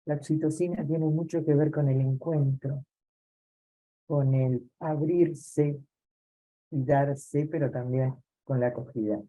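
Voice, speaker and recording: A woman moderating an online session over a video call, calm and measured. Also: low voice, poor audio.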